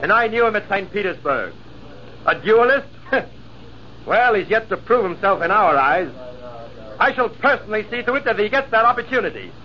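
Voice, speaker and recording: A sneering, jealous lieutenant. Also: male voice, sits high, big pitch swings, moderate pace. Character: bitter jealous young lieutenant, scheming. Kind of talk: 1940s radio acting. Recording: dull old radio recording with hiss.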